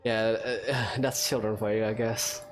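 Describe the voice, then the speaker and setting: Casting: female let's-play host, very low pitch, unhurried halting casual reactions, light music underneath. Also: flat delivery. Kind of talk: casual game commentary.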